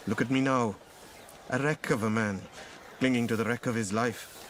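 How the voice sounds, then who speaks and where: An actor plays a wounded, distrustful man in a radio play, a wreck of a former lover. His mid-range voice is intense and questioning. There is clear noise in the background of the recording. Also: medium pitch, fairly even intonation, moderate pace, lightly acted. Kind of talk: radio drama acting.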